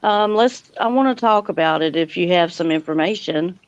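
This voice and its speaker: A woman's voice: lowish voice, normal speed, clear, resonant tone, no room sound, highs cut off. A woman hosting a casual live chat stream, talking informally with her guests.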